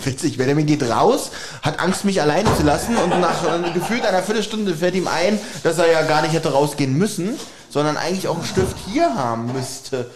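Ein Mann, der als Co-Host locker und spöttisch in einem Podcast plaudert und dabei oft lacht. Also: male voice, on the high side, lively intonation, a little fast, hardly any pauses, crowd noise. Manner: laughs often, wry and teasing. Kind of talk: casual podcast chat.

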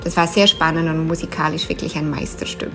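A Swiss woman with a Swiss German accent, answering interview questions thoughtfully, often pausing with fillers and restarting sentences. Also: low voice, flat delivery, music in the background. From Switzerland. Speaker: interview guest.

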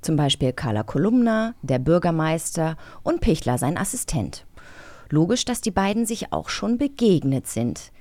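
Lively woman podcaster, close dry sound. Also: low-pitched, moderate pace, no room sound. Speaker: podcast co-host. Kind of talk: casual, playful podcast chat.

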